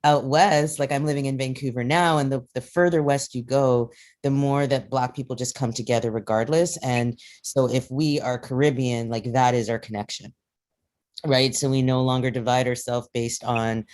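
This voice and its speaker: A woman's voice: very deep voice, steady tone, normal speed, darkish tone. A woman from Canada, middle-aged, speaking in a casual discussion, rambling with many fillers and restarts. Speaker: panel participant.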